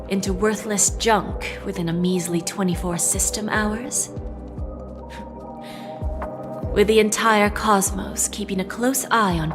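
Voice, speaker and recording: An actress playing a confident, shrewd female corporate negotiator in a video game, articulate, measured and pointed, with a coolly threatening edge. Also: mid-range voice, natural voice. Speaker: game voice actor. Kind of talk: dramatic video game dialogue.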